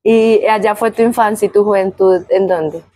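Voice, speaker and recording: A woman's voice: mid-range voice, fairly even intonation, normal speed, warm tone, no echo. A woman asking informal prepared interview questions, hesitant and rephrasing as she goes.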